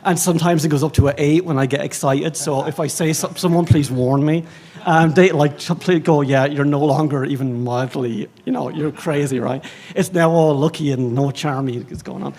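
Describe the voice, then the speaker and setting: An Irish man speaking as a librarian on a conference panel, rambling and animated, with many fillers and self-mocking asides. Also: fairly high pitch, moderate pace. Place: conference stage.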